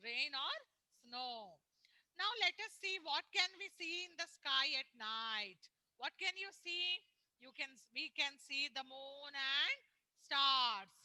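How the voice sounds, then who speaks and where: A woman teacher with an Indian English accent, explaining a lesson slowly to young children on a video call, repeating points and asking them questions. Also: high voice, pushed and bright, dry recording, rough recording. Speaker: primary school teacher. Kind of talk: online class lesson. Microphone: laptop or phone on a video call.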